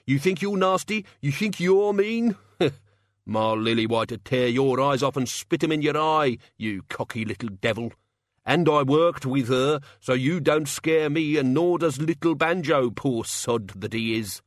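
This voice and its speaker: A man voicing a cocky old British crook with rough, colloquial speech in an audiobook. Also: medium pitch, expressive, measured pace, no echo, clean studio sound, pitched above the performer's own voice, lightly acted. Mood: scornful, defiant. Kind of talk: audiobook character acting.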